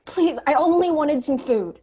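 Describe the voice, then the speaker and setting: A woman's voice: high-pitched, expressive, normal speed, warm tone. A woman playing a wary, earnest young refugee in a staged play reading, hesitant and polite. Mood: frightened, pleading. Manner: hesitant, polite Southern phrasing.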